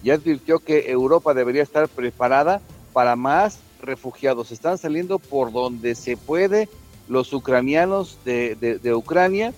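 A man giving informal, opinionated news commentary on a morning radio show, in colloquial words with a halting delivery. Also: mid-range voice, a little mellow, faint music behind.

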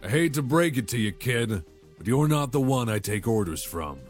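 An actor playing a guarded, condescending armored soldier in a sci-fi audio drama, with a dry, curt manner. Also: male voice, mid-range voice, sing-song, normal speed, faint music behind, natural voice. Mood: guarded, defiant.

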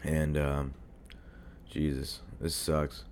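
A man's voice: monotone, extremely slow, darkish tone. A young man hosting his own podcast while sick and congested, talking in a rambling, casual way with lots of fillers and swearing, into a desk mic at home. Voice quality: deep hoarse voice. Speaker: podcast host. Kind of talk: casual solo podcast monologue. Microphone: desk mic near a computer.

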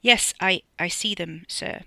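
Nervous young male driver, voiced by a narrator, meek, apologetic, polite, tense bright tone, audiobook character. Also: mid-range voice, fast talker, gravelly, no echo, clean studio sound. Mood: cowed.

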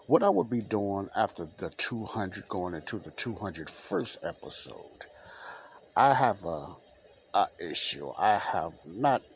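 A man's voice: low voice, big pitch swings, measured pace, a little hiss or hum. A man hosting his own unedited solo podcast, talking loosely with lots of fillers and repeats, his nose stuffy and congested. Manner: rambling, filler-heavy, repeats himself. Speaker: podcast host. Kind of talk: unscripted solo podcast talk. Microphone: home podcast mic, unedited.

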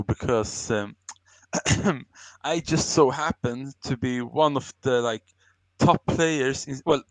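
A man's voice: medium pitch, a bit bright, dry recording, rough recording. A young adult man from Sweden, co-hosting a casual podcast chat, telling rambling stories about himself with plenty of laughs and self-mockery. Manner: rambling storyteller, self-mocking, laughs often. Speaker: podcast co-host.

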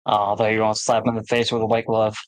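A man's voice: lowish voice, monotone, racing, dry recording. A man in his late twenties, co-hosting a casual podcast chat, laughing often while he jokes and reminisces. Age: late 20s. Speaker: podcast co-host.

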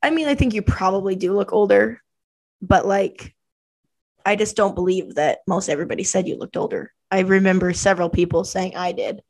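A woman in her twenties at a medium pitch hosts a casual podcast chat. She teases her guest, laughs often and cuts in quickly. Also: creaky, a little mellow. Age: 20s. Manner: teasing, giggly, quick to interrupt. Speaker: podcast host.

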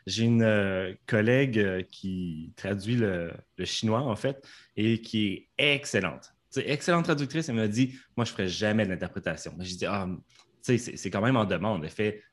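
A man's voice: fairly low pitch, normal speed. A man from Canada with a Canadian French accent, an interpreter talking in a podcast interview from his home studio, hesitant with many fillers and restarts.

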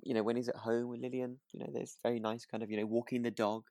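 A man chatting casually as co-host of a relaxed podcast. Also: medium pitch, fast, no echo, clean studio sound. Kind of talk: relaxed casual chat about a radio drama.

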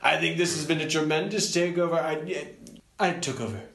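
A man's voice: fairly high pitch, brisk, creaky, a bit bright. A man playing a boastful older president in improvised comedy banter, pompous, rambling and repeating himself, in an echoey room. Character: boastful older male president, parody of a real politician.

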